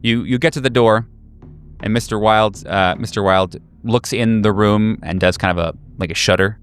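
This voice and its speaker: A man acts as game master, improvising narration for a horror tabletop game. His voice is on the low side, with faint music behind it. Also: steady tone, normal speed.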